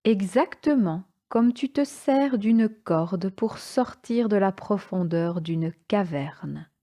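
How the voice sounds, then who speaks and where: A woman guides a yoga nidra relaxation as an instructor. Her voice is dark and mellow, at a medium pitch for a woman. She speaks very slowly and soothingly, setting short phrases apart with calm pauses.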